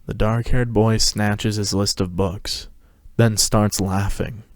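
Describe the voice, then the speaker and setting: A man's voice: lowish voice, little pitch movement, takes it slowly, no room sound, studio quality. A man narrating a scripted story as a podcast host, reading it dramatically.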